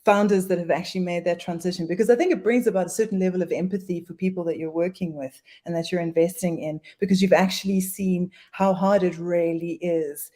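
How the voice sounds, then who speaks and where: A woman's voice: on the low side, steady tone, a little fast, hardly any pauses, warm tone. A woman speaking as a guest in an online session, friendly and chatty. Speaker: guest speaker, commercial director. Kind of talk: conversational webinar talk.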